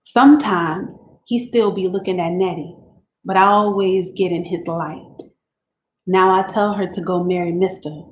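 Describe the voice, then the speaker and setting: Woman performing a resigned, abused teen country girl writing to God; quiet rural dialect, mid pitch, echoey room. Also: a little hiss or hum, natural voice. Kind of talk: dramatic reading of letters. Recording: roomy room echo.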